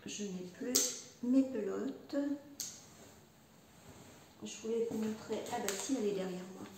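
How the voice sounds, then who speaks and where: Slow, hesitant woman in an echoey room. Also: mid-range voice, creaky, recorded from a distance, noisy background. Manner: hesitant, many "euh" fillers and restarts; rambling, chatty, self-deprecating. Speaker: knitting and crochet podcaster. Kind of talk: casual chat showing knitwear.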